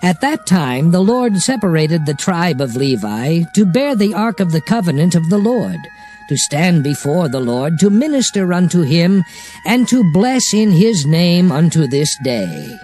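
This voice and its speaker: An actor playing Moses as a solemn prophet exhorting his people in a declamatory, measured dramatized scripture reading. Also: fairly high pitch, big pitch swings, a little mellow. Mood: solemn, recounting. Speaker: scripture narrator. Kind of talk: dramatized Bible reading in archaic English. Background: music under the voice.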